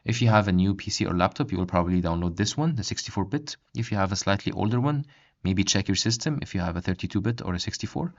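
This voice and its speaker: A man narrating a step-by-step software tutorial as its host, on a dull, lo-fi recording. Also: deep voice, lively intonation, quick pace. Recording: dull, lo-fi microphone sound.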